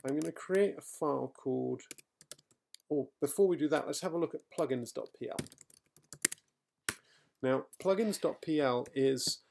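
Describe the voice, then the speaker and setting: Gravelly man explaining code at his desk. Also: mid-range voice, moderate pace, long pauses, darkish tone, slight background noise. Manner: thinking aloud, with fillers and self-corrections. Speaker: software trainer. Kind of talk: screencast coding tutorial. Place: quiet home room.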